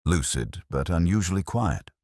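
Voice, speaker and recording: A man's voice: low voice, very animated, moderate pace, a little mellow, no echo, studio quality. A man voicing an anxious, polite Victorian private secretary in an audiobook, speaking formally and precisely. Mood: uneasy.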